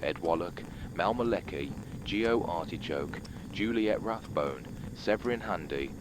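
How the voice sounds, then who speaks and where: A man formally introducing a late film as a deadpan television continuity announcer. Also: low voice, unhurried, noisy background.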